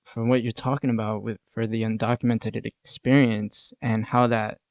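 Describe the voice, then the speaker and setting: A man's voice: on the low side, level tone, normal speed, no room sound, clean studio sound. A man interviewing conversationally, searching for his phrasing and leaning on key words for emphasis.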